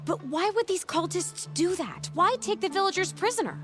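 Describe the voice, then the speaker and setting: An actress playing a plucky, cheeky young adventurer girl in a family adventure game, excitable and wide-eyed. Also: very high voice, normal speed. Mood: curious, determined. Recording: music behind.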